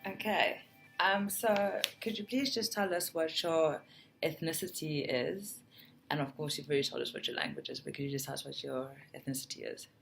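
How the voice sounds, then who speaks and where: A woman interviewer who asks her questions politely and at a measured pace, often adding "mm" as she listens. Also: low voice, fairly even intonation, vocal fry, soft background music. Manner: polite and measured, with frequent "mm" acknowledgements.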